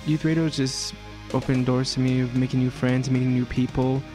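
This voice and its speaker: A young man giving a personal radio testimonial in an earnest, halting way, often restarting his words. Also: mid-range voice, level tone. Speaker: youth radio reporter. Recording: music bed behind the voice.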